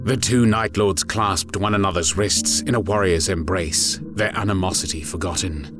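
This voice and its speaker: A man narrating a dramatic scripted story in a grave, deliberate manner. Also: sits low, over music.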